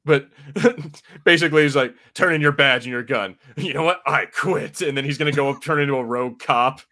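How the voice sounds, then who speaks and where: A man's voice: mid-range voice, fairly quick, sharp tone. Informal, jokey male podcast host. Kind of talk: casual chat.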